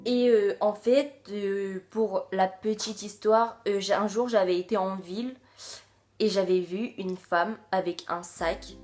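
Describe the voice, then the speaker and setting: Young french girl vlogging, lots of euhs, soft music in the back. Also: medium pitch, steady tone, a little slow. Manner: chatty, many fillers and hesitations. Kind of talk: casual video chat.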